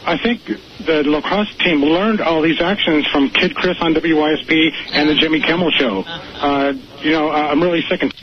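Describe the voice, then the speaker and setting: A man calling in to a show, giving an opinion in a halting way with many restarts. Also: on the high side, fairly even intonation, a crowd in the background. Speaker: call-in show caller. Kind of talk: hesitant spoken opinion. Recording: dull noisy call-in broadcast clip.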